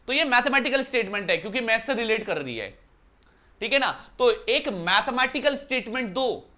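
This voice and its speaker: Bright-voiced man teaching a maths lesson. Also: very high voice, lively intonation, moderate pace. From Uttarakhand, India. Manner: conversational, keeps asking "clear, brother?". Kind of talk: classroom lesson explanation. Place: indoor room.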